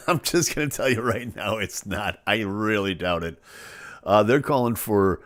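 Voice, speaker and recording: A man's voice: lowish voice, unhurried. A man with a head cold hosting his live stream, chatting casually and jokingly as he reads out viewer comments. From Chicago area. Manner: jokey, playful, animated delivery with sudden loud bursts.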